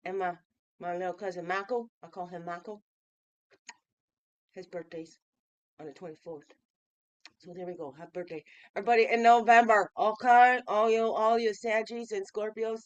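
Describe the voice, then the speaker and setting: A woman in her fifties with a stuffy, nasal voice from a cold, hosting a daily reflection vlog. She talks in a playful, chatty way and keeps asking the listener questions. Her voice sits at an ordinary pitch and sounds close and dry. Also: steady tone, moderate pace, long pauses, clear, resonant tone, no room sound. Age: 50s. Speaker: host of a daily reflection show. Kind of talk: casual reflective vlog talk.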